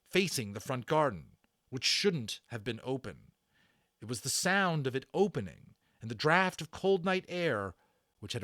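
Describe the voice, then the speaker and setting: A man reads a children's adventure story aloud. His delivery is theatrical and animated, with a medium pitch that swings widely and pauses placed for suspense. The sound is dry, with very little room in it. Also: dry recording. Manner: theatrical, with stressed words and dramatic pauses. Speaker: audiobook narrator and channel host. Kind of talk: dramatic read-aloud storytelling of a children's novel.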